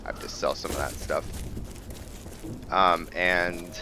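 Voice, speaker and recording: A man giving casual gameplay commentary on a roleplay, thinking aloud and often pausing to find his words. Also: deep voice, steady tone, background noise. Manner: musing, thinking aloud with frequent hesitations. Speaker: gaming video host.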